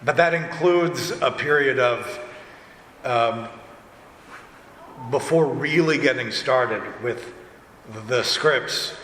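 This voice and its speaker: A man talks casually on a discussion panel, drifting into asides as he goes. His medium-pitched voice rings around an echoey hall, with a light bed of room noise. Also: takes it slowly, long pauses, fairly bright tone. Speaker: director and panelist. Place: convention panel room, indoors.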